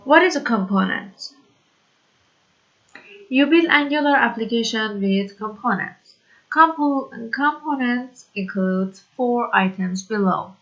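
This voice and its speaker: A woman from Iran, whose first language is Persian, teaching a programming tutorial in slow, hesitant English full of fillers. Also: on the high side, lively intonation, a bit bright, muffled recording. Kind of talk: screen-recorded coding tutorial.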